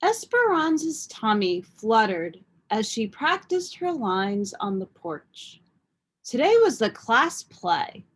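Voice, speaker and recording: A woman reading a children's storybook aloud in expressive narration. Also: a little high, slow, slightly rough. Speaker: storybook reader.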